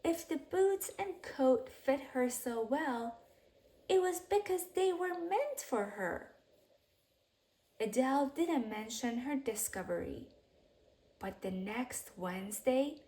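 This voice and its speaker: A woman with a fairly high voice reads a bedtime story for children. She takes it very slowly, in measured phrases. Her delivery is expressive, and her sentences tend to settle into soft, hushed endings. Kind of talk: expressive read-aloud bedtime story narration.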